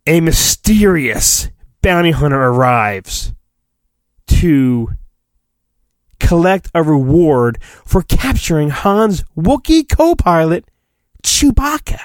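A man's voice: fairly high pitch, big pitch swings, takes it slowly. A middle-aged American man reading a storybook dramatically and breaking in with informal, wisecracking asides, recorded on his phone. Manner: informal, wisecracking, self-interrupting. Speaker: podcast host. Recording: homemade phone recording, roomy echo, faint music.

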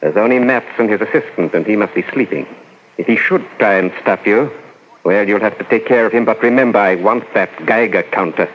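A man acting in a 1940s radio drama as a menacing, coldly controlled stranger with a calm, commanding manner. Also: on the low side, fairly quick, close to an everyday voice. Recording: muffled old 1940s radio recording, noisy.

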